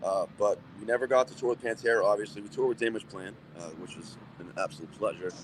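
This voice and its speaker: Deep-voiced man, relaxed and plain-spoken. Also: fast, slight background noise, low-quality recording. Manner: relaxed, plain-spoken, lots of ums and restarts. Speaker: metal band vocalist, guest. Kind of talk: casual interview answers.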